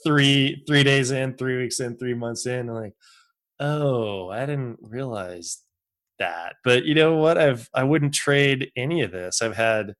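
A man's voice: mid-range voice, unhurried, a bit bright. A man in his fifties hosting a casual interview, reflective and rambling, laughing now and then. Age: 50s. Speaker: podcast host.

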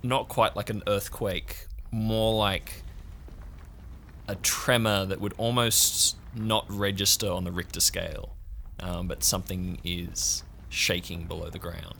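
Guy running a tabletop game, low gravelly voice w a sharp bright edge, deliberate narration. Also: level tone, a little hiss or hum. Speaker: game master. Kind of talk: improvised second-person scene narration for a tabletop game.

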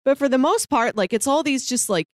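A woman's voice: sits high, lively intonation, fairly quick, dry recording, studio quality. A woman co-hosting a podcast in casual chat, talking chattily and qualifying her opinions as she goes. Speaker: podcast co-host. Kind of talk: casual chat about a TV drama.

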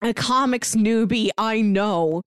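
A woman's voice: on the high side, slow, smooth, no echo, clean studio sound. A woman co-hosting a podcast in casual chat, speaking in an agreeable, easygoing way. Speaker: podcast co-host.

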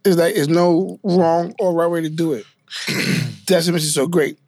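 Gravelly, higher-voiced man, casual guest. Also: fairly even intonation, normal speed, a little mellow, dry recording. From New York, family from Guyana. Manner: easygoing, loose storytelling with many fillers. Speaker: dancer, guest. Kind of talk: casual interview chat.

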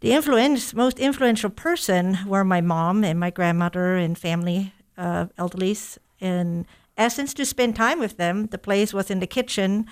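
A woman's voice: mid-range voice, smooth. A woman from Germany, a baker and chef, answering interview questions in a somewhat formal way.

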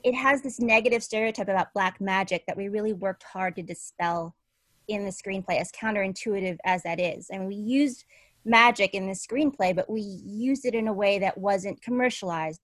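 A woman from Connecticut gives conversational interview answers as a screenwriter. She sounds earnest and emphatic, with a middling pitch. The recording is dry, with hardly any room sound. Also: no room sound. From Connecticut, United States.

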